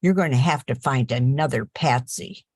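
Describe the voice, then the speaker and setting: Sharp-tongued country sister for a radio play, older actress, deep for a woman, dry close sound. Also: moderate pace, no echo. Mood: exasperated, firm. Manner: folksy, firm. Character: sensible, sharp-tongued farm-raised sister of a gambler. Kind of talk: 1940s radio play acting.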